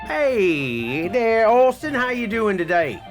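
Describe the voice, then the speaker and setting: A man's voice: high-pitched, sing-song, slow, over music, full character voice. A man putting on an earnest Aussie co-host. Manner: earnest, rambling, says mate and you know. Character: earnest male Aussie co-host bloke, fond of commercials. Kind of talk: improvised comedy banter.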